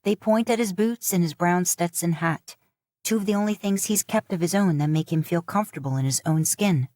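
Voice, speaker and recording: A woman's voice: lowish voice, brisk, mellow, no room sound, studio quality. A woman reading a scripted story aloud in the third person, as an audiobook narrator. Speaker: fan fiction audiobook narrator.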